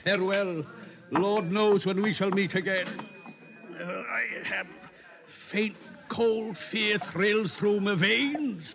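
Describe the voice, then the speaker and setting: Pompous, high-pitched gentleman barfly. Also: slow pace, vocal fry, slight background noise, heavily acted. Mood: theatrical, wistful. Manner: pompous, flowery, theatrical speech. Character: florid, thirsty barfly informant, a shabby gentleman drunk. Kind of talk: old-time radio crime drama acting. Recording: dull lo-fi old radio recording.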